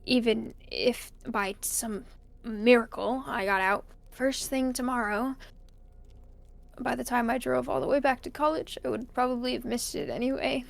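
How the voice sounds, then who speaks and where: A woman playing a weary, lonely college student recording a halting personal log in an audio drama. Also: fairly high pitch, steady tone, gravelly. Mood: dejected, lonely. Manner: hesitant, halting, sighing. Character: weary, lonely college student stuck alone in a snowbound house.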